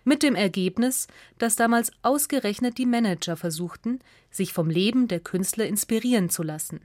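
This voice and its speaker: A woman's voice: fairly high pitch, animated, moderate pace, darkish tone, no room sound. Woman narrating a radio essay, dry studio sound.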